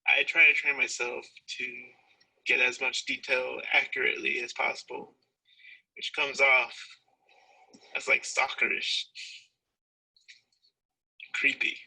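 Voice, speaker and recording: A man speaks in an earnest, self-doubting way, in a clip from a documentary. His voice is husky and has a sharp, bright edge. His pitch sits in the middle. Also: little pitch movement, slow pace, pauses often, rough recording. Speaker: documentary subject. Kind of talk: confessional film clip.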